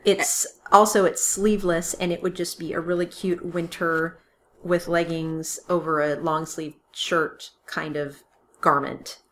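A woman's voice: lowish voice, steady tone, a little slow, slight background noise. A woman co-hosting a casual podcast, chatting about a knitting book, often restarting her sentences and laughing at her own slips. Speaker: podcast co-host. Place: home recording with a kitten nearby.

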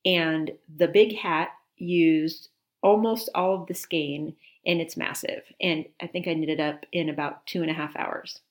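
A woman's voice: deep voice, fairly even intonation, measured pace. A woman co-hosting a knitting podcast, chatting enthusiastically and in detail, sniffling a little. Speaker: podcast co-host. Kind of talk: casual chat about knitting.

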